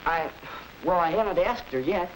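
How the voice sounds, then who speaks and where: A man's voice: high voice, expressive, moderate pace, poor audio. Earnest American teen boy, 1940s educational film; hesitant, nervous, boyish; light soundtrack hiss. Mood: anxious. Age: teenager. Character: earnest, nervous teenage boy planning first date. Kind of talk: 1940s instructional film acting.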